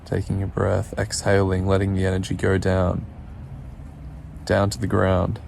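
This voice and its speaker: A man leading a guided meditation in a soothing, unhurried way, leaving pauses between short instructions. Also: low-pitched, completely flat delivery, vocal fry, darkish tone, slight background noise.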